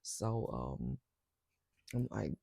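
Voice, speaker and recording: A woman with a stuffy nose guiding a spiritual intention ceremony with breathwork, speaking slowly and softly and often dropping to a whisper. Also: a bass voice, sing-song, gravelly, breathy edge, no echo, clean studio sound. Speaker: spiritual circle facilitator.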